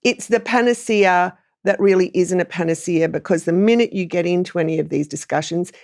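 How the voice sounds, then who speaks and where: Aussie woman on a news podcast, creaky voice, chatty opinionated political takes, lots of qualifying. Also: fairly low pitch, brisk, a little mellow. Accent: Australian. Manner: informal, opinionated, hedging and qualifying. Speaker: journalist panellist on a news podcast. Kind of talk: conversational political analysis.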